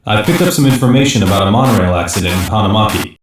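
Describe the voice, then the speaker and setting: Low-voiced earnest police detective played by a man, echoey. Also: very fast, distant mic, background noise, natural voice. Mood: focused, reporting. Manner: matter-of-fact, procedural reporting. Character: earnest male ex-detective in an elite police unit. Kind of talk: English-dub anime voice lines.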